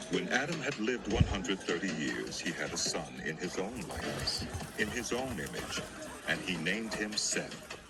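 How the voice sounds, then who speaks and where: Male narrator, low-pitched, solemn, measured, scripted Bible storytelling, strong musical backing. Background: prominent music.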